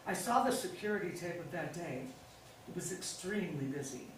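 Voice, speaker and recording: A woman's voice: lowish voice, animated, a little fast, close to an everyday voice. Echoey, coaxing middle-aged wife, acted by a woman. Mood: probing, insistent. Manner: coaxing, pressuring, falsely sweet. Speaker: actor in a staged reading. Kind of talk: stage play reading. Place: indoor staged reading, mic far off. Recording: very echoey room, distant mic, faint noise.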